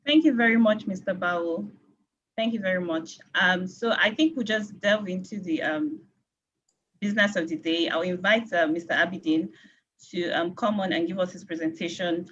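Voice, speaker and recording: A woman moderating a webinar on a video call, brisk and businesslike. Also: fairly low pitch, fairly bright tone. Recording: dull video call audio.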